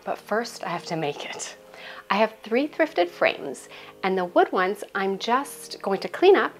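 A woman in her thirties hosting a home DIY tutorial at home, chatty and self-deprecating with playful asides, on a lapel mic. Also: medium pitch. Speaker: home decor YouTuber. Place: home interior, hallway and craft table.

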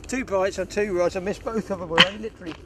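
A man's voice: high voice, steady tone, fast, heavy background noise. Chatty older man by a lake. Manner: chatty, instructive, talks to viewers as guys. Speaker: fishing show host. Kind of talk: casual outdoor fishing commentary. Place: outdoors at a lakeside.

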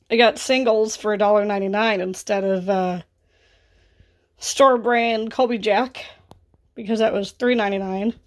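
A woman in her thirties with a scratchy, raspy voice and vocal fry, chatting casually through a grocery haul in her kitchen. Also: mid-range voice. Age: 30s. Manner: chatty and offhand, rising into exclaimed asides. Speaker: vlogger. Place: home kitchen, indoors.

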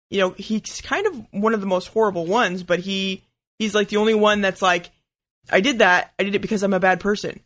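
A man co-hosting a podcast, chatting casually and haltingly as he thinks aloud. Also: sits high, pushed and bright. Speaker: podcast co-host.

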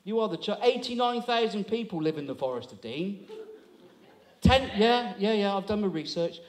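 Sixties male stand-up compere, higher pitch, teasing crowd work, handheld mic, small hall. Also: sing-song, moderate pace. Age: 60s. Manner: chatty, teasing, audience banter. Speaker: comedy compere. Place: small town hall stage, indoors. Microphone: handheld microphone.

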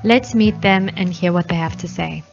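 A woman narrator reading clear, scripted voice-over questions. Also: on the low side, moderate pace, highs cut off, soft background music.

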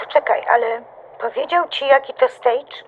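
An actress playing a concerned, blunt best friend who is quick to be indignant, in an audio drama. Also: female voice, high voice, lo-fi sound, a little hiss or hum, close to an everyday voice. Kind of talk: animated audio drama dialogue.

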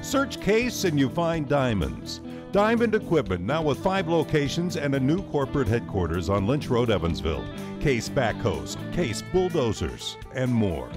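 Lively male ad announcer over music. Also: medium pitch, normal speed. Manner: lively, sing-song delivery. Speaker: commercial announcer. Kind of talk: scripted ad voice-over.

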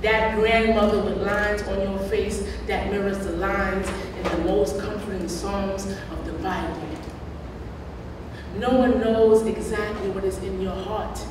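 A young woman recites spoken-word poetry at a podium microphone. She takes her time, placing each phrase with deliberate drama and weighty pauses. The recording is distant and heavily reverberant, with clear background noise. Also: medium pitch, fairly even intonation. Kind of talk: live poetry slam recitation. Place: large room at a college, on stage at a podium. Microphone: microphones on stands at a podium. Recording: echoey hall, distant mic, background noise.

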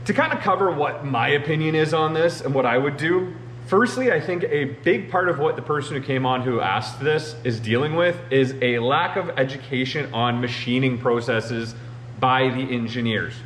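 A man's voice: medium pitch, normal speed, a bit bright. Chatty man in an echoey machine shop. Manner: conversational, uses many "you know" fillers. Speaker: machinist show host. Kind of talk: casual explanatory talk to camera. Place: large indoor machine shop. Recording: roomy shop with faint hum.

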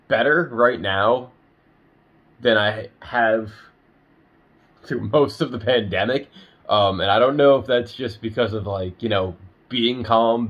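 A man's voice: fairly low pitch, highs cut off. A young man talking casually to his phone camera in a bedroom, rambling with lots of fillers, still a little nasal from being sick. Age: young adult. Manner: chatty and rambling, many "um"s and "you know"s. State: a little nasal, recovering from illness. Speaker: vlogger. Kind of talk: casual daily update vlog. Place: bedroom indoors. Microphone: phone camera at arm's length.

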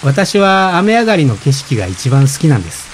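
An actor playing a polite, gentle, self-effacing expectant father in reflective radio drama dialogue. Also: a little high, sing-song, fairly quick, a little hiss or hum. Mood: gently reflective. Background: rain.

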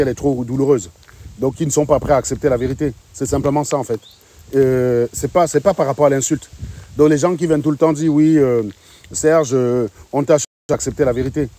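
Brisk combative livestream host, fairly high voice, phone held out. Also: male voice, dark tone, no echo. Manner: combative, mocking, insistent questioning. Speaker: live stream host. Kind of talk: casual livestream chat. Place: outdoors on a sunny street. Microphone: phone at arm's length.

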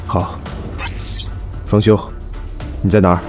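Radio drama: resolute male squad leader, deep voice, authoritative and sparing with words, acted by a man. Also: little pitch movement, slow, a little mellow, soft background music. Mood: commanding. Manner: terse, authoritative. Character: grave, resolute male team captain.

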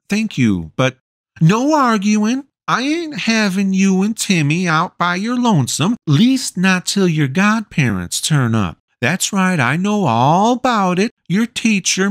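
A woman's voice: fairly low pitch, no echo, studio quality, pitched above the performer's own voice. A man voicing a big, bossy, doting mother who talks in a fussy, folksy, insistent way.

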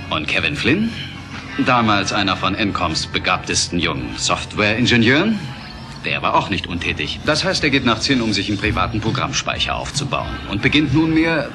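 A brash young software engineer talks with lively swings of pitch. He is cocky, colloquial and sardonic, easily worked up. Music plays clearly behind him, as in a radio drama. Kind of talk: film dialogue in an audio drama.

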